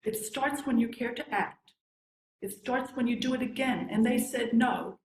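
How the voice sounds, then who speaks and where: A woman reading a poem aloud at a service in a measured, deliberate delivery. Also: a little high. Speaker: poetry reader at a service. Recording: echoey room.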